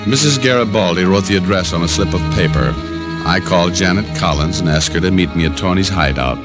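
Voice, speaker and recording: An actor playing a wisecracking, tough private detective with an American accent in old radio style, sardonic and hard-boiled. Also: male voice, deep voice, fairly quick, loud music behind the voice, lightly acted. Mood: narrating. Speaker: lead detective and narrator. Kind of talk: 1940s-50s radio detective acting.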